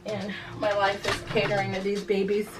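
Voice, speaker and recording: A woman's voice: medium pitch, completely flat delivery, normal speed, over music, rough recording. Chatty, rambling American woman vlogger. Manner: rambling, self-deprecating, giggly, often trailing off mid-thought. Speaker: craft vlogger. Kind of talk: casual chat to viewers while showing a craft project.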